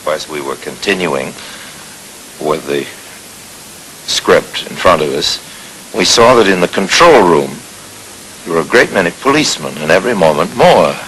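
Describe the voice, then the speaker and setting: Very deep-voiced man, slightly hissy recording. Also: very animated, normal speed, pauses often, fairly bright tone, low-quality recording. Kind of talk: recounting memories, polished storytelling. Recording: light hiss on archive recording.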